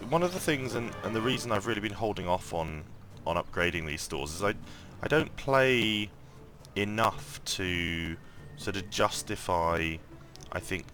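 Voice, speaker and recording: A man with a croaky morning voice giving casual gameplay commentary, thinking aloud and rambling with lots of ums and restarts. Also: deep voice, pushed and bright. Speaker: mobile game let's-play host.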